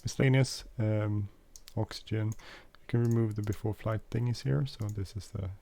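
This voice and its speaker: Hesitant low gravelly-voiced man. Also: steady tone, moderate pace, warm tone. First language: not English. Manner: hesitant, many fillers and self-corrections, chuckles. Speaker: flight sim video host. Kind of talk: casual gameplay walkthrough.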